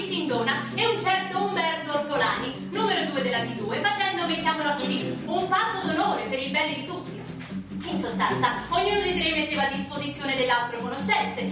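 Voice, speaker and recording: A woman, a stage actress, delivering theatrical narration of a documentary play. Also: sits high. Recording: echoey stage, distant mic, music.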